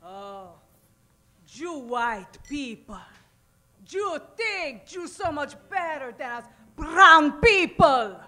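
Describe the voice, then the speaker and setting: A woman's voice: sits high, expressive, very slow, pushed and bright, exaggerated voice. A woman playing a brazen, vengeful Mexican bandit in an old-time radio comedy western, speaking broken English with a Mexican accent and a taunting, theatrical manner. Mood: defiant, menacing.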